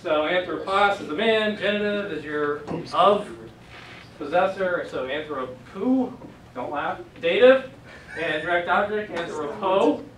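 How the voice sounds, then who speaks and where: A man's voice: sits high, measured pace, fairly bright tone. A young man teaching a Greek class in a casual, conversational way, recorded by a far camera in a church meeting room. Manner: casual, conversational, many fillers. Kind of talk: classroom lecture. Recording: echoey room from far off, noisy.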